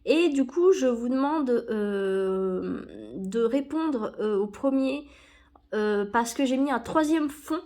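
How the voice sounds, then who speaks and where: A woman's voice: a little high, animated, slow pace, mellow. Chatty young woman with a cold. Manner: rambling, self-correcting, many fillers. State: has a cold. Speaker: YouTuber opening sticker packs. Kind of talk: casual chat while sticking stickers.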